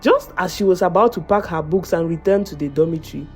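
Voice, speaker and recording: A woman reading a scripted folktale as a storyteller, in a dramatic, expressive way. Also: lowish voice, quick pace, husky, faint music behind.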